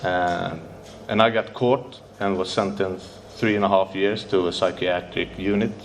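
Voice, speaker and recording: Low-voiced Swedish man, nervous, halting non-native English, faint noise behind. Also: steady tone. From Sweden. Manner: halting, searching for English words, many fillers and restarts. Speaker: speaker sharing recovery story. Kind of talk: recovery meeting share.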